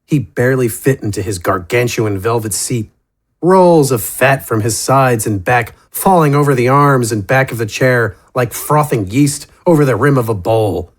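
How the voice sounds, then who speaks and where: Measured male fantasy story narrator. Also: medium pitch.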